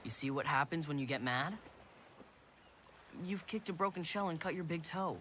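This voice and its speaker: A performer voicing a sensible, firm but caring teenage older brother in an animated cartoon. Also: mid-range voice, moderate pace, heavy background noise, close to an everyday voice.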